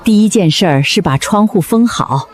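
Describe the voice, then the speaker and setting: A woman's voice: mid-range voice, lively intonation, moderate pace, soft background music, natural voice. A woman playing a practical, plain-spoken animal-shop keeper, firm but caring, in a scripted drama. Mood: patient, instructive.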